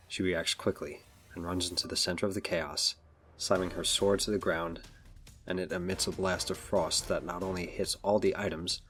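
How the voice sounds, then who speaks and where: A man with a very low voice narrates a superhero audio drama. He reads scripted action scenes over faint music.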